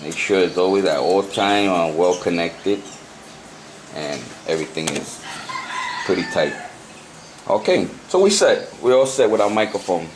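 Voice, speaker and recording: A man giving an unscripted hands-on tutorial, talking haltingly and repeating himself as he works through the steps. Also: low-pitched, big pitch swings. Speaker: hobbyist presenter of a CB radio tutorial. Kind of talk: unscripted hands-on how-to demonstration. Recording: noisy amateur home video.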